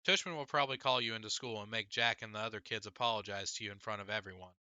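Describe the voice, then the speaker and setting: A man's voice: lowish voice, fast, sharp tone, clear, resonant tone, no room sound, muffled recording. A man reading an audiobook as an exasperated, insistent teenage big sister, emphatic and pleading. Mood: warning. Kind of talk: audiobook character dialogue.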